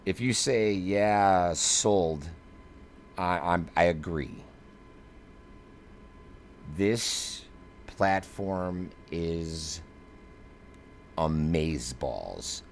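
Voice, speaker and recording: A man's voice: low-pitched, extremely slow, long pauses. A man giving live flight simulator demo commentary in a casual, slangy, emphatic way, like a streamer. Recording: clear background noise.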